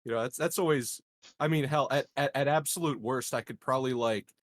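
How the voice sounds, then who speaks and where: A man's voice: medium pitch, fairly quick. A man playing a tabletop roleplaying game, chatting and improvising jokes, often repeating words as he stammers. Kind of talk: casual joking improv chat.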